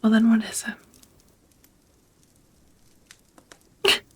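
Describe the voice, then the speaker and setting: Casting: actress as ancient female vampire, tender and hesitant, for romantic ASMR roleplay; very husky whisper, unhurried intimate delivery. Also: medium pitch. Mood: delighted, teasing. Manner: slow, hesitant, intimate, slightly old-fashioned phrasing.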